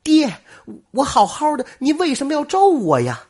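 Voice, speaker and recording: A young village daughter with a shrill, pleading falsetto, as a male storyteller puts her on. The voice leaps far above his own and stays thin and husky, the way a folk tale teller plays a girl. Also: big pitch swings, moderate pace, exaggerated voice.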